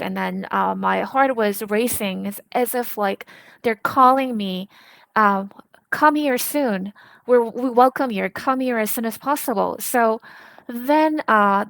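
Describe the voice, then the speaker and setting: A woman interpreting a personal testimony live, speaking haltingly and building sentences from short phrases. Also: medium pitch, creaky, fairly bright tone, no echo.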